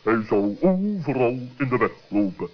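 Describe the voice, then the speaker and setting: A man voicing a grave, disapproving owl with a husky voice and ponderous, hemming manner in a children's radio play. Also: medium pitch, very animated, normal speed. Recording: dull old radio recording.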